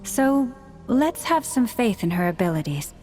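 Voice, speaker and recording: A woman's voice: on the high side, big pitch swings, a little slow, a little mellow, faint music behind. A woman playing a composed, reassuring adventurer in a video game. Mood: calm, reassuring. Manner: composed, trusting. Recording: long reverberant hall.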